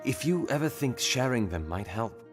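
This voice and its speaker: An actor playing a steady investigator in a fantasy video game, measured, earnest and authoritative. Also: male voice, medium pitch, music in the background, lightly acted. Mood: concerned. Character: steady, commanding male investigator in fantasy city. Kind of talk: dramatic video game voice acting.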